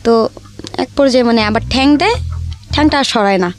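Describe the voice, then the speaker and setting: A woman's voice: on the high side, a little fast. A young woman with a Bangladeshi accent chattily telling her life story in colloquial speech, acting out the dialogue as she goes. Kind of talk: casual personal storytelling with re-enacted dialogue. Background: background music.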